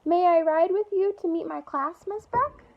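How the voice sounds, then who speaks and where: A woman's voice: very high-pitched, normal speed, narrow, tinny audio, slight background noise, voice pushed up. A woman reading the part of an upbeat, polite and enthusiastic storybook schoolteacher. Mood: bright, polite.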